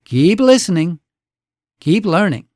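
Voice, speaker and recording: A man's voice: high voice, big pitch swings, slow pace, darkish tone, no room sound, clean studio sound. A man hosting a radio show, narrating a scripted story with folksy, dramatic phrasing.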